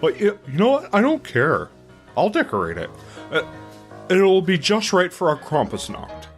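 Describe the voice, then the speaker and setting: A man's voice: sits high, wildly swooping pitch, moderate pace. A man playing an earnest, hapless weatherman in a scripted holiday parody, with music behind him. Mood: stubborn, hopeful.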